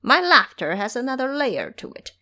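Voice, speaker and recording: A woman playing a cocky, scheming male monkey disciple in an audio drama, with an animated, commanding manner. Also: high voice, normal speed, pitched above the performer's own voice, exaggerated voice. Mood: sly, animated.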